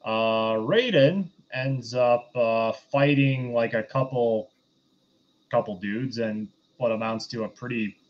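Male podcast host, casual recapper, halting and rambling, slightly muffled lo-fi sound. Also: mid-range voice. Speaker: podcast host reviewing films. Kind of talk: casual film recap chat.

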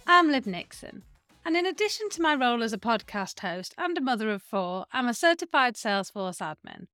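A woman hosts a podcast in an upbeat manner, with a voice pitched a little high. She moves from a scripted introduction into relaxed questions. The sound is dry and close-miked. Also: a little fast, dry recording, soft background music. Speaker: podcast host.

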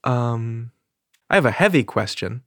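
Male podcast host; curious interviewer, mid pitch, dry recording. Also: animated, a little slow, a bit bright, no echo. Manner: curious, asks questions with small restarts.

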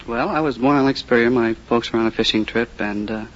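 Young American male, polite contestant, brief answers, medium pitch, muffled vintage radio, background noise. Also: fast. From Minnesota, USA. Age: 20s. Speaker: quiz show contestant. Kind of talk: answering interview questions. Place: studio before a live audience. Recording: noisy old radio recording.

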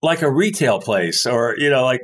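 A man's voice: medium pitch, expressive, moderate pace, a bit bright, slight background noise. A man telling a long story in casual conversation, rambling and stopping to search for words. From born in France, grew up overseas. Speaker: guest telling stories.

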